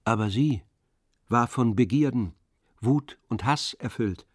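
A man narrates a classic novel as radio drama. His voice is medium in pitch and recorded close and dry, delivering scripted literary prose. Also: slow pace, no room sound.

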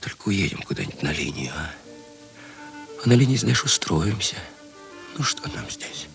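An actor playing a brash, restless but tender thief in a Russian radio drama, with halting, repetitive, slangy speech. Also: male voice, low-pitched, very animated, creaky, a bit bright, soft background music, full character voice. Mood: pleading, hushed.

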